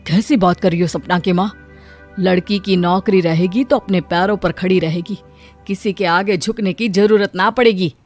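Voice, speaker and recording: A woman's voice: fairly low pitch, fairly even intonation, moderate pace, soft background music, natural voice. A woman voicing a plain-spoken village man in a moral story. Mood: encouraging. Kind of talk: Hindi moral-story acting.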